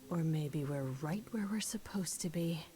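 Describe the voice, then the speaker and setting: A woman playing a sardonic, teasing young sidekick in a video game, with wry, breathy asides. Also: deep voice, a little slow, mellow, faint music behind.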